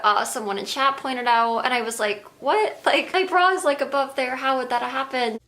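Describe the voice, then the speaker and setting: High-pitched young woman, a bit hoarse, hesitant, says kinda and like constantly. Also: a little fast, bright, forceful tone. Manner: hesitant, many "kinda" and "like" fillers. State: slightly hoarse, losing her voice. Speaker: streamer in a played clip. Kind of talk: apologetic video monologue.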